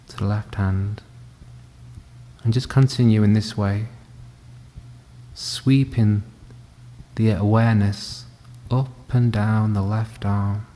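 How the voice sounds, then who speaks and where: A man guides a meditation in a dark, mellow, low voice. His delivery is calm and gentle, with long pauses between phrases. The sound carries a long reverberant tail. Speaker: meditation teacher. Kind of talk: guided body scan meditation. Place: indoor room at a wellness centre. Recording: reverberant hall, faint background noise.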